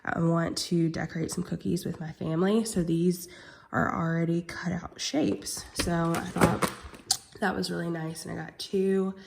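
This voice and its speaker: A woman's voice: on the low side, creaky, darkish tone, slight background noise. A young woman vlogging casually, chatty with lots of "um" and "like", sounding congested and nasal from a cold. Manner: chatty, many fillers like "um" and "like". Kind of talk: casual vlog chat.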